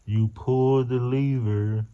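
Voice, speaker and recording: A man's voice: mid-range voice, steady tone, slow, clear, resonant tone. A man, the vlogger's partner, chatting playfully at home and coaxing the unborn baby to come out. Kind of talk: casual home chat. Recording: dull lo-fi sound.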